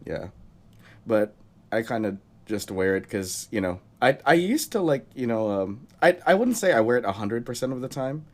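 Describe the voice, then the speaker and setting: A man's voice: mid-range voice, sing-song, normal speed. A young man co-hosting a casual podcast, talking through a cold in a rambling way and chuckling often. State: has a cold. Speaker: podcast co-host.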